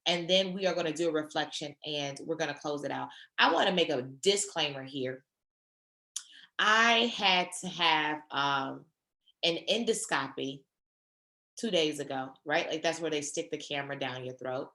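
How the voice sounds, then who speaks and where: Slightly hoarse woman leading a virtual training. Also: low-pitched, normal speed, reverberant. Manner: warm, encouraging, conversational. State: slightly hoarse, sore throat. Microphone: video call.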